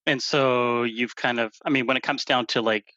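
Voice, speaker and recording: A man's voice: mid-range voice, steady tone, normal speed, pushed and bright, muffled recording. A man co-hosting a casual podcast, asking questions and cracking jokes. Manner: joking, teasing interjections. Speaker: podcast co-host. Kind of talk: casual chat, interviewer.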